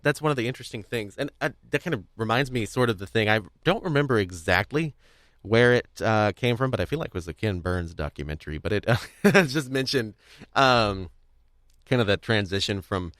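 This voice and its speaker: A young man with a fairly low voice chats casually as a podcast host. He talks in long, winding, hedged sentences. The sound is very dry, with no room echo. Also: fairly bright tone. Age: young adult. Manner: rambling, hedging, wordy. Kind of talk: casual chat about audio drama craft.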